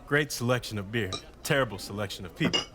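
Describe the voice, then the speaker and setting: Actor as wry husband, sardonic, self-deprecating, conversational, radio drama interviewee. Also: male voice, mid-range voice, fast talker, noisy background, lightly acted. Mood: wry, amused. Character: wry, indignant husband recounting blackout night, interviewee.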